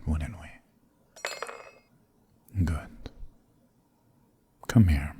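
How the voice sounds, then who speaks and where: A man playing a centuries-old, possessive and sadistic vampire lord in an intimate ASMR roleplay, husky and often whispering, with a commanding, condescendingly tender manner. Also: rumbling low, warm tone, dry recording, exaggerated voice. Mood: cold, commanding.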